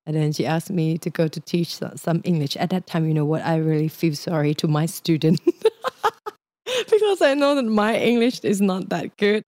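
A woman's voice: lowish voice, mellow, no room sound, studio quality. A young Thai woman with a Thai accent, speaking English as a second language in a casual, chatty interview and laughing often. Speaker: guest.

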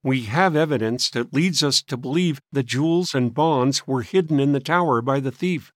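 A man's voice: mid-range voice, normal speed, no echo, studio quality, pitched above the performer's own voice, close to an everyday voice. A man voicing a polite, earnest, confident teenage boy detective in an audiobook. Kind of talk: audiobook character voice.

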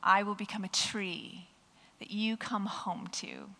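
A hoarse woman voicing a patient, devoted mother bunny from a storybook, in a gentle read-aloud voice. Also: medium pitch, fairly even intonation, slow. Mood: tender. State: croaky, hoarse voice.

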